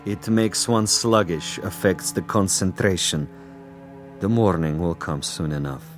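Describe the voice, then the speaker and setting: A man's voice: deep voice, measured pace. An actor playing a cunning Soviet commissar in a radio drama, a pompous gamesman who speaks with taunting, theatrical precision. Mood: gloating. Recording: music behind the voice.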